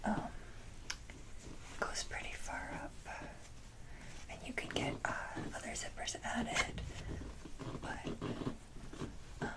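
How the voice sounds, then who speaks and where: A woman hosting an ASMR video, speaking casually in a breathy, gentle whisper, in short halting phrases. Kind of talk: soft-whispered ASMR, casual show-and-tell.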